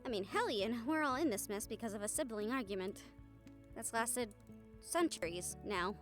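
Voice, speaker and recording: High-voiced wry young woman friend, acted by a woman. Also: lively intonation, moderate pace, faint music behind, lightly acted. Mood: open, surprised. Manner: casual, hesitant, chuckling, mildly sweary. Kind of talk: audio roleplay acting, intimate one-sided conversation with listener.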